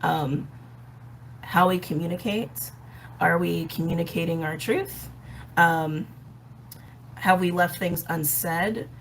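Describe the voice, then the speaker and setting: Raspy-voiced woman in a chatty astrology talk. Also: lowish voice, steady tone, takes it slowly, long pauses, slight background noise, rough recording. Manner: chatty, with frequent ums and light laughs. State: hoarse, recovering from a respiratory illness. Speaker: astrology and tarot channel host. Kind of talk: casual explanatory astrology talk.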